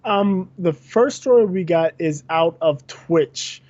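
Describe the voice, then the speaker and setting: A man's voice: high voice, animated, takes it slowly, darkish tone, no echo, highs cut off. A man co-hosting a gaming podcast, going through news items with frequent fillers and self-corrections. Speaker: podcast co-host.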